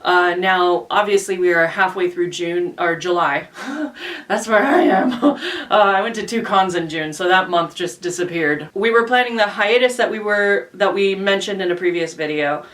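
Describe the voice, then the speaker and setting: A woman's voice: on the low side, moderate pace, a bit bright. A woman co-hosting a casual, chatty channel update, recorded at some distance from the microphone. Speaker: co-host and voice actress.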